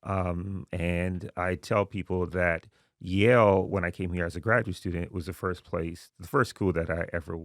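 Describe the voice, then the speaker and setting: A middle-aged man from Queens, New York, born in Haiti, answering interview questions in a relaxed, reflective way with many fillers. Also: deep voice, normal speed, hardly any pauses, no room sound, clean studio sound. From born in Haiti, raised in Queens, New York. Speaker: podcast guest, university dean.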